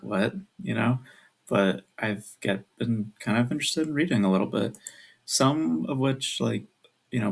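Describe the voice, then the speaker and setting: A man in his twenties talks casually to his viewers in a low voice with plenty of creak. He keeps it hushed, as if others nearby are sleeping, and rambles along hesitantly. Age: 20s. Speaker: video creator. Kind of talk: casual video chat. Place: at home at night.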